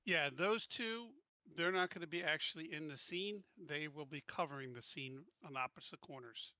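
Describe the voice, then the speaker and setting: A man with a fairly high, bright voice talks casually as a tabletop game player. The sound is dry and a little muffled. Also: normal speed, no echo.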